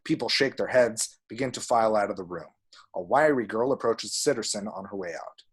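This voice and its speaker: A man's voice: on the low side, brisk, slightly rough. A man reading screenplay action descriptions as scripted narration at a table read.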